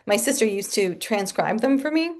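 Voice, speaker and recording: A woman speaking as a guest author in a casual interview from her home office, chatty and animated and laughing often. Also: medium pitch, normal speed.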